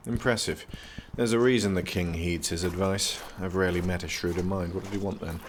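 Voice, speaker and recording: Man playing an aloof, deep-voiced young prince. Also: fairly even intonation, fairly quick, a little hiss or hum. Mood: stiff, polite. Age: young adult. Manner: formal, dry, guarded speech. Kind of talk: audio drama acting.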